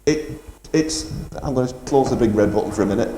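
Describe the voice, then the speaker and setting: A man's voice: medium pitch, fairly quick, dark tone, a little hiss or hum. A man presenting live community radio in a chatty, jokey way. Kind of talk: live radio interview and fundraising appeal. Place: radio studio stage in an indoor market. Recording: echoey, roomy live stage sound.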